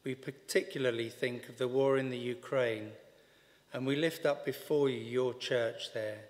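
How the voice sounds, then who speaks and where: Older man, reading church prayers, earnest, mid-pitched, long church echo. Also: steady tone. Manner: earnest, measured, reads from notes. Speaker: intercessor leading church prayers. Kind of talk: read-aloud intercessory prayer. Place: large church interior, at a wooden lectern. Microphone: lectern microphone on a stand.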